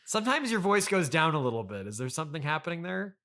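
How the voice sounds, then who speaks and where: A man's voice: fairly high pitch, sing-song, fast, pushed and bright. A man hosting a casual comedy interview, asking probing questions in a hesitant, straight-man way. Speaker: podcast host, interviewer. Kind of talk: casual improvised comic interview.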